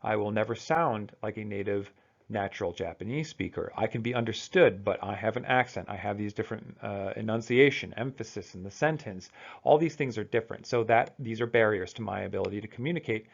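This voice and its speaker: Gravelly-voiced man giving a casual lecture. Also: fairly low pitch, fairly quick, lo-fi sound. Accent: American. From United States. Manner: conversational, thinking aloud with fillers and restarts. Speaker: college instructor. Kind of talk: recorded lecture.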